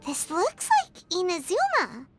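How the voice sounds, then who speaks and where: Game fairy sidekick: tiny childlike voice, extremely high, swooping, blunt and exclamatory. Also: female voice, wildly swooping pitch, measured pace, soft background music, heavily acted. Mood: chirpy, matter-of-fact. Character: small, childlike, excitable floating fairy companion, outspoken and easily exasperated. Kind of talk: video game voice acting.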